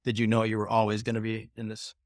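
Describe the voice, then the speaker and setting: Flat-voiced male interviewer. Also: fairly low pitch, fast, no room sound, clean studio sound. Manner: encouraging, short affirmations. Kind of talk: conversational interview questions.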